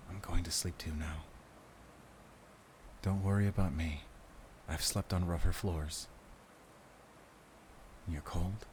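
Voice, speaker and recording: A man as a low-voiced, weary werewolf hunter. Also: fairly even intonation, slow pace, long pauses, darkish tone, a little hiss or hum. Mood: tender, tired. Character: weary, protective male werewolf hunter, earnest, chocolate-loving. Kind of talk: intimate cinematic audio roleplay.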